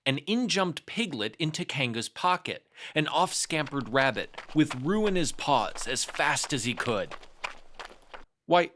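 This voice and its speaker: A man's voice: on the high side, big pitch swings, measured pace, hardly any pauses, bright, forceful tone. A man narrating a children's story as a read-aloud storyteller.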